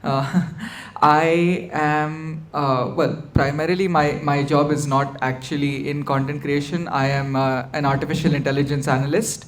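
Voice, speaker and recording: A young man introducing himself on a panel, with many pauses and fillers. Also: on the high side, flat delivery, a little hiss or hum. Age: young adult. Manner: halting, many fillers and restarts. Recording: echoey hall, faint ambience.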